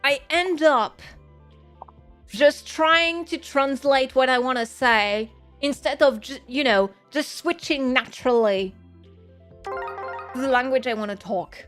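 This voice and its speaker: A woman's voice: sits high, takes it slowly, fairly bright tone, faint music behind. A woman with a French accent, a native French speaker, chatting casually on a gaming stream in a musing, rambling way and correcting herself as she goes. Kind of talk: casual gaming livestream chat.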